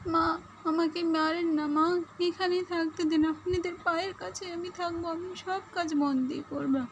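A woman's voice: very high voice, steady tone, moderate pace, a little hiss or hum, pitched above the performer's own voice. A woman voicing a young daughter-in-law in a cartoon, vain and plaintive, coaxing as she talks. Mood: pleading. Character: young daughter-in-law, pleading, vain, flattering, hard-working.

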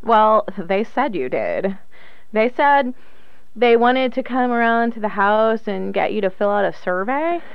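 A woman's voice: mid-range voice, animated, measured pace, clear, resonant tone, no echo, lo-fi sound. An actress playing a blunt, nagging but concerned woman at home in a radio drama. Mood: chiding, concerned.

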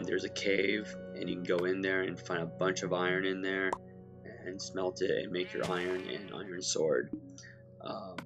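A man recovering from illness and a bit hoarse, giving a relaxed step-by-step game walkthrough with lots of ums. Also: low-pitched, lo-fi sound. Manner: relaxed, many ums and filler restarts. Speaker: gaming guide creator. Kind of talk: walkthrough commentary over gameplay. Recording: music playing behind.

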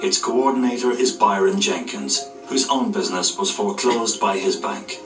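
A man delivers scripted documentary narration in a low voice. The sound is roomy and a little distant, like a television re-recorded, with faint music behind him. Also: moderate pace.

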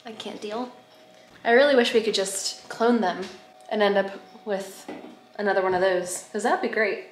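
A woman's voice: medium pitch, steady tone, moderate pace. A woman in her thirties chatting casually to a camera at arm's length, with a playful, gushing way of talking. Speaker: vlogger. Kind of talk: casual vlog chat. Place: home kitchen and dining room, indoors. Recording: roomy echo, light background noise.

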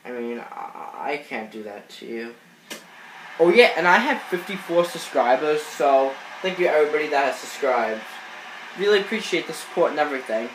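A man's voice: fairly high pitch, sing-song, moderate pace, a bit bright. A man with a cold, sniffling as he chats through baseball video game footage, rambling with lots of fillers and restarts. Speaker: gaming channel host. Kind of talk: casual gameplay commentary. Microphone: off-screen voice-over on gameplay footage. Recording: echoey room with faint noise.

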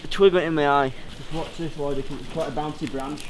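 A man's voice: fairly high pitch, steady tone, brisk. A young man exploring with friends, talking in casual, sweary banter. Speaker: urban explorer in a group vlog. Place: outdoors on woodland trail, then inside cave. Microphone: camera microphone at varying distance. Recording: very noisy outdoor camera recording.

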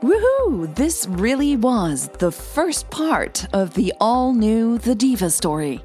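A woman narrating her own romantic story in a calm, even reading style. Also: a little high, takes it slowly, soft background music. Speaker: podcast host and storyteller.

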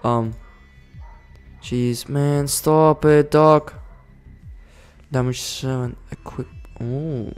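A man giving casual commentary over a management game, excitable, swearing and yelling orders at his units. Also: mid-range voice, drawn-out words, long pauses, clean tone, faint music behind. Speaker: gaming channel host.

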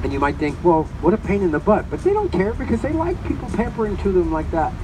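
Loud, chatty tour guide man. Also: sits high, very animated, fast talker. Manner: chatty, addresses listeners directly. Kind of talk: live guided tour commentary. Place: outdoors on a moving tour vehicle. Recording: distant, muffled mic with loud wind noise.

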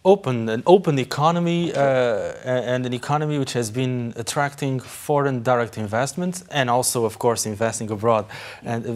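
A man's voice: mid-range voice, moderate pace. A man hosts a television talk show interview, putting long, winding questions to his guest. He strings clauses together and leans on "uh" as he builds up each question.

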